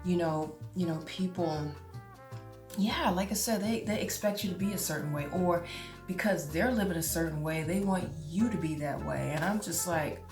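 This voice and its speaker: Chatty woman nearing fifty, lower voice. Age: 40s. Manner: chatty, laughs often, drawn-out exclamations. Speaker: vlogger. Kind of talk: casual talk to camera. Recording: echoey room with music behind.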